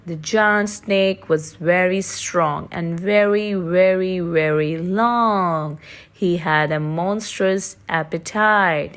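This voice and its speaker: A woman reading aloud for preschool children in a deliberate way, pausing between short phrases. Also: lowish voice.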